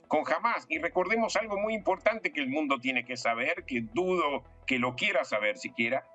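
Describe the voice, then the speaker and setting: Male political commentator, bright forceful tone, emphatic explainer, faint music underneath. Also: medium pitch. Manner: emphatic, explanatory, poses rhetorical questions. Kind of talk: political analysis in an interview.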